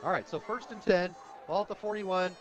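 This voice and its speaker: An American man doing live radio play-by-play of a football game in an energetic, conversational way. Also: sits high, brisk, muffled recording. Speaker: radio play-by-play sports announcer. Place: outdoors at a high school football game. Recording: outdoor stadium broadcast with crowd noise. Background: crowd at a stadium.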